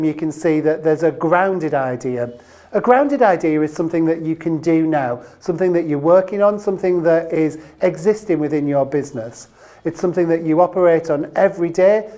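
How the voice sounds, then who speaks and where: Female trainer for a short business explainer: lowish pitch, very mellow tone, emphatic on key phrases, speaking straight to the viewer. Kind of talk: instructional training video presentation to camera.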